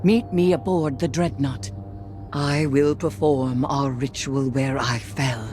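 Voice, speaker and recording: A woman playing a grim, vengeful sorceress queen in a video game, speaking in a solemn, deliberate, imperious way. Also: a bass voice, steady tone, a little hiss or hum. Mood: commanding, menacing. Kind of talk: dramatic video game cutscene acting. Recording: loud music under the voice.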